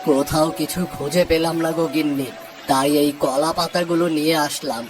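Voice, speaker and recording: A man's voice: fairly high pitch, steady tone, fairly quick, close to an everyday voice. Man as earnest, troubled cartoon village father. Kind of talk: dramatic cartoon story dub. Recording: loud music under the voice.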